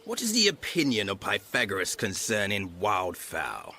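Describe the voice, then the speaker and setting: Bright, animated, mocking jester. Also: male voice, lowish voice, moderate pace, gravelly, husky, heavily acted. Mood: pompous mocking. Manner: mocking, theatrical. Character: mischievous jester posing as a pompous mocking curate. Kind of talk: classical radio drama acting in Shakespearean English.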